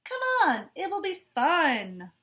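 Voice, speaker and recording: A woman's voice: high-pitched, sing-song, slow pace, bright, forceful tone, voice pushed up, heavily acted. A woman voicing a friendly, playful young female straw in a storybook, coaxing a classmate along. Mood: encouraging.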